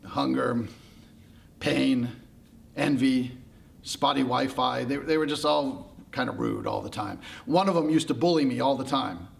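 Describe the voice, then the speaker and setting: A small, lonely storybook creature, as a male storyteller puts it on. It is meek and unsure of itself, speaking haltingly and doubting its own worth. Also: mid-range voice, gravelly. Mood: hurt, mopey. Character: small timid insecure creature, a lonely little problem.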